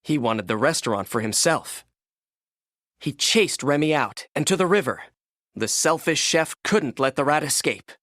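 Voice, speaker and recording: Male narrator for kids' read-along book, animated delivery with wide pitch movement, dry recording. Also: moderate pace, no room sound. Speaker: storybook narrator.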